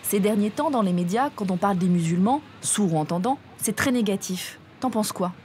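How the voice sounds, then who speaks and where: A woman reporter narrating and interviewing people at an outdoor event, calm and clear. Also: medium pitch, brisk, a little hiss or hum. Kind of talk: documentary narration and street interviews.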